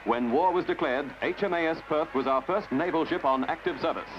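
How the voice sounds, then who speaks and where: A man narrates a scripted newsreel in a dramatic, measured, slightly wry way. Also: fairly high pitch, background noise. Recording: dull lo-fi archival film soundtrack with music. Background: prominent music.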